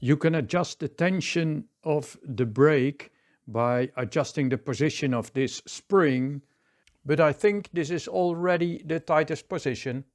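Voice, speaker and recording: A man with a Dutch accent, calmly thinking aloud as he works through a hands-on repair tutorial. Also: a little high, unhurried. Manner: calm, thinking aloud while working. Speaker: repair technician.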